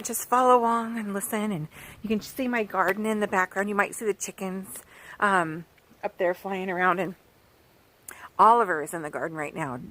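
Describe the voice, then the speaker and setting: Animated storytime woman outdoors. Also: mid-range voice. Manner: animated and sing-song, asking the listener questions. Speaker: children's author reading aloud. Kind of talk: storytime reading for children. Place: outdoors by a farm garden.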